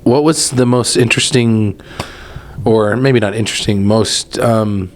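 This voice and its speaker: A man's voice: on the low side, measured pace. A man interviewing a filmmaker, asking long, loosely phrased questions.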